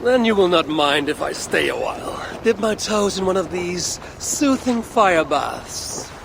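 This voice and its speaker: An actor playing a proud, dryly witty Norse god warrior in a video game, speaking in a measured, commanding way. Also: male voice, high voice, expressive, vocal fry, background noise, full character voice. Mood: wry, taunting. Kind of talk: video game cutscene acting.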